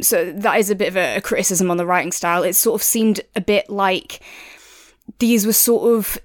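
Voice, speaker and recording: A woman co-hosting a review podcast in casual conversation, thinking aloud and softening her points as she goes. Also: mid-range voice, no room sound, studio quality. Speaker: podcast co-host reviewing audiobooks; works in audio production.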